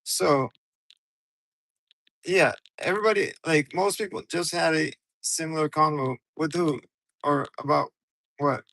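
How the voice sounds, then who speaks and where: A man's voice: on the high side, fairly even intonation, measured pace, gravelly, pauses often, a bit bright, dry recording, low-quality recording. A man from Ukraine hosting a casual, rambling livestream from his car on his phone, talking loose and slangy straight to his viewers. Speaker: livestream host.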